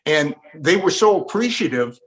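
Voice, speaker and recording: A man's voice: a little high, big pitch swings, moderate pace, a bit bright. An American man hosting a talk program, conversationally presenting facts and leading into stories. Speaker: program host and interviewer.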